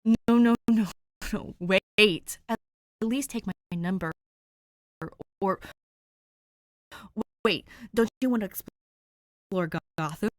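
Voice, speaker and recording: Woman reader doing a rambling, flustered young guy, storybook read-aloud, mid pitch, stammers and begs. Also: slow, long pauses, dry recording, clean studio sound, lightly acted. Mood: pleading. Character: flustered young man pleading on phone.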